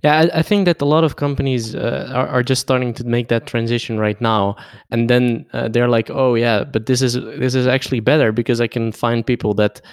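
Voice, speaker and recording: Clear-voiced male podcast host, dry sound. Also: medium pitch, steady tone, fairly quick, hardly any pauses, no echo. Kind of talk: casual podcast interview.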